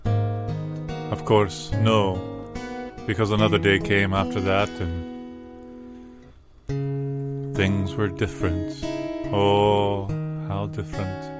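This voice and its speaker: Male improv performer, lowish voice: wistful deadpan monologue, musing pauses, prominent guitar backing. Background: acoustic or plucked guitar music.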